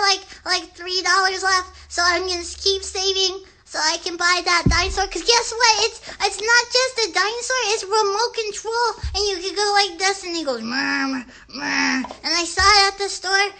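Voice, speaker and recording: Casting: excitable little kid puppet, extremely high bright voice, rambling, earnest, childlike, for a children's puppet show. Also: female voice, exaggerated voice. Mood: earnest, chatty. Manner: rambling, childlike, stumbles on big words.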